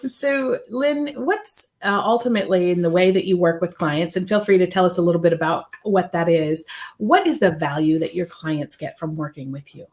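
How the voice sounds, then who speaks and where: A woman's voice: fairly low pitch, moderate pace, a little mellow. Female host, upbeat and welcoming, conversational live interview delivery. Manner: upbeat, welcoming, brief acknowledgments. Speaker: podcast host.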